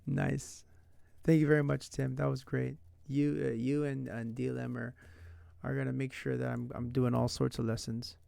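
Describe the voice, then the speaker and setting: Gravelly young man chatting casually. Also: mid-range voice, flat delivery, moderate pace, warm tone. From San Diego, United States. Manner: rambling, full of "like" and "right?". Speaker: language learning streamer. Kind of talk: casual livestream chat. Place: indoors at night.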